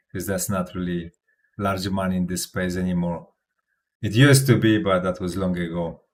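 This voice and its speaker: Host, male, low-ish voice, confident rambling crypto livestream chat. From Poland. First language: Polish. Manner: rambling, self-assured, laughs often, restarts words. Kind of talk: casual livestream commentary.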